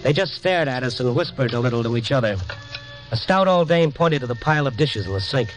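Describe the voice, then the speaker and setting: A man's voice: medium pitch, lively intonation, fairly quick, close to an everyday voice, low-quality recording. A hard-boiled American newspaperman, played by a male actor tells his story in old radio drama style. He is wry and direct, with music playing prominently behind him on a vintage recording. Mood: tense, wry narration. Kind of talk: 1950s radio drama acting.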